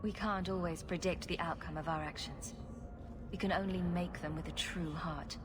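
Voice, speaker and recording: A self-assured young female rogue from a fantasy video game, voiced by an actress. She speaks in a lowish voice with dry sarcasm, over clearly audible background noise. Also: steady tone, moderate pace, lightly acted. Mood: sincere. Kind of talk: video game cutscene acting.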